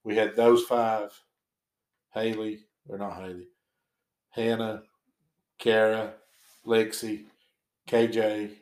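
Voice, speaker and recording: A man's voice: lowish voice, level tone, slow pace, pauses often, a little mellow, echoey room. A middle-aged man with a Southwest Virginia accent, a former basketball coach turned principal, giving short, plain-spoken answers in a casual interview. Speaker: retired basketball coach, now school principal.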